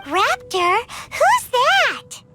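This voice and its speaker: A woman voicing a tiny, feisty fairy companion in a video game, blunt and excitable in a cartoonish way. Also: very high voice, extremely slow, over music, full character voice. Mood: startled, puzzled.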